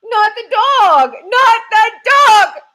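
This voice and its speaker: Woman voicing a skittish storybook squirrel, high and wobbly, stretches every word. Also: big pitch swings, fairly bright tone, full character voice. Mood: panicked. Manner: drawn-out, quavering cries. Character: twitchy timid male squirrel, easily frightened.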